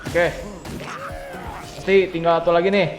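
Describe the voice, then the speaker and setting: An excitable young gaming streamer chatters in slangy bursts. His voice sits high and rings in an echoey room with a long tail. Game music plays loudly behind him. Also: normal speed. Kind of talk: casual gameplay commentary.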